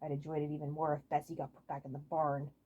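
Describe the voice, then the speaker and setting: A woman's voice: low voice, monotone, quick pace, warm tone, highs cut off, voice pushed down. A woman voicing a grumpy, sarcastic, embarrassed cartoon man with an exasperated, grumbling manner, in a fan fiction story.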